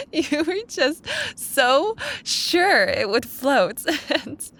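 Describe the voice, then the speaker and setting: A woman playing a caring, wistful young childhood best friend in an intimate, gently teasing ASMR roleplay. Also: sing-song, heavily acted. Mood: giggly nostalgia. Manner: tender, unhurried, teasing. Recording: audible low rumbling background noise.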